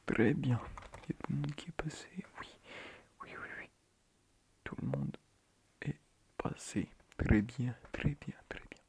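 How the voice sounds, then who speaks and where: A man playing a soft-spoken, quirky, mock-strict schoolteacher in an ASMR roleplay, hushed and breathy, muttering hesitantly and repeating himself. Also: medium pitch, unhurried, pauses often, full character voice. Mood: calm, helpful. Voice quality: hushed, half-whispered, breathy. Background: computer keyboard typing.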